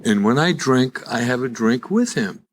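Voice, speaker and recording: An elderly man with a Chicago accent speaks to a live meeting in a medium-pitched voice. He tells his own story in a folksy, conversational way, with a wry, joking touch. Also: expressive, moderate pace. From Chicago, USA. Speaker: guest speaker at a recovery meeting. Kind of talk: live personal testimony with jokes. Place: live in-person meeting before an audience.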